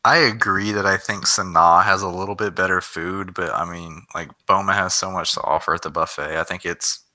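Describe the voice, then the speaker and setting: A man's voice: low voice, fairly even intonation, fairly quick, pushed and bright, dry recording. A young man filling in as host of a casual podcast, easygoing and modest.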